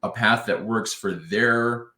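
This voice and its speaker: A man interviewing a client, asking questions haltingly, with many ums and restarts. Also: on the low side, sharp tone. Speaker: interviewer and coach. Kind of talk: casual interview. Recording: echoey room.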